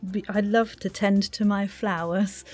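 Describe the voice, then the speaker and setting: A woman hosting a casual interview podcast, effusive and quick to interject. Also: mid-range voice, fairly even intonation, darkish tone, no echo. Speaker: podcast host.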